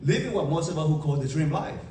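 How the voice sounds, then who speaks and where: A young man with a Vietnamese accent giving an emphatic motivational keynote to a live audience, with dramatic pauses and rhetorical questions. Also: on the high side, animated, darkish tone. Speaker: motivational speaker. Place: large event hall. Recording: echoey hall from far off.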